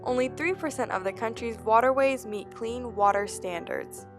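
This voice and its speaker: A woman's voice: a little high, normal speed. A woman reading scripted documentary narration full of figures. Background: music.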